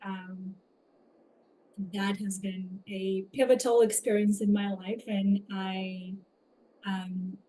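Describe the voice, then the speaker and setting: Ukrainian accent woman, podcast guest telling personal story, reflective, bit halting, mid pitch. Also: flat delivery, dark tone, slight background noise, rough recording. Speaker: podcast guest, somatic coach.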